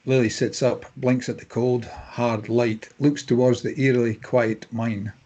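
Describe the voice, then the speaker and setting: A man's voice: medium pitch, fairly even intonation, unhurried, mellow, muffled recording. A man reading stage directions as narrator, in scripted dramatic present-tense narration.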